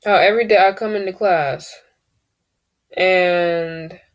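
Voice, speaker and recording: Slow, hesitant woman with a deep voice. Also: fairly even intonation. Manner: hesitant, searching for words, trailing off. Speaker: vlogger. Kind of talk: casual personal storytelling.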